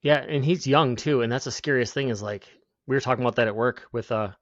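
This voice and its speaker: A man in his forties in a casual podcast chat who talks haltingly and fills gaps with "like". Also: mid-range voice, no room sound. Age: 40s. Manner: halting, full of "like" fillers. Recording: dull lo-fi dry sound.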